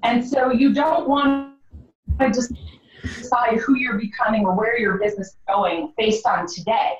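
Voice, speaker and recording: A woman's voice: on the high side, steady tone, moderate pace. A woman guest on an interview, a former therapist turned coach, talking in a direct, no-nonsense way with a strong voice. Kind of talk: conversational interview. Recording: roomy, distant, dull sound.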